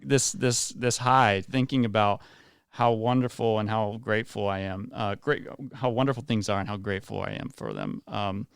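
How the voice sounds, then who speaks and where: A man's voice: fairly low pitch, animated, moderate pace, creaky, smooth, no echo, clean studio sound. A man around forty from West Virginia, a community leader speaking earnestly and effusively as a guest in a radio interview. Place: radio studio.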